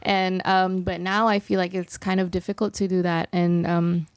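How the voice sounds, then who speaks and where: A woman's voice: fairly low pitch, little pitch movement, moderate pace, smooth, no echo. A young woman from Malaysia, a singer chatting casually as an interview guest, filling her talk with "like" and "um". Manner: chatty, many fillers like "like" and "um". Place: recording studio.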